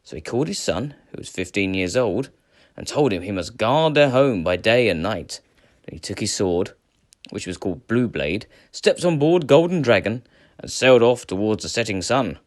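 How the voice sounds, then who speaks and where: A man reading a children's adventure tale aloud as a storyteller, with dramatic, measured phrasing. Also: lowish voice, animated. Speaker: storyteller, teacher.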